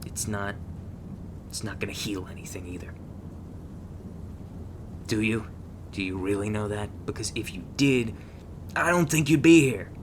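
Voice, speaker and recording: Man acting a low-voiced, gruff monster-hunting agent. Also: lightly acted. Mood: stern, weary. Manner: blunt, halting, sardonic, sighing between thoughts. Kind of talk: ASMR roleplay, intimate one-sided dialogue. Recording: noisy, rumbling thunderstorm-like background sound.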